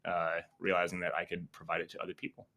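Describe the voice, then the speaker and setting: Low-voiced man in his thirties. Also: fast talker. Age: 30s. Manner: relaxed, hesitant with fillers. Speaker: cinematographer guest. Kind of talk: casual interview chat.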